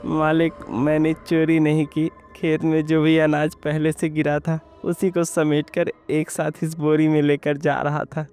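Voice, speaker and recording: A man voicing a poor, hungry farm labourer in an animated village story, troubled and pleading in manner. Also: a little high, unhurried, hardly any pauses, soft background music, voice pushed up. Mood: desperate pleading.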